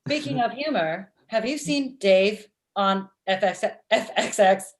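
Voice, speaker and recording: A woman's voice: medium pitch, lively intonation, takes it slowly. Blunt lovestruck robot played by a woman, mid-range voice, stilted machine-like delivery, sitcom script read on video call. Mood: deadpan, chatty. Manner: stilted, uncontracted, machine-like phrasing. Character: blunt, lovestruck robot matchmaker with literal speech. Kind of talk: table read of comedy script.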